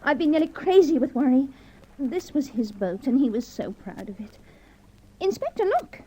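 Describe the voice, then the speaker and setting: An actress playing an anxious, grieving wife in an old radio crime drama, breathy and emotional. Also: female voice, high voice, sing-song, moderate pace, mellow. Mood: distraught. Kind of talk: 1950s radio drama acting. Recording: dull old radio recording.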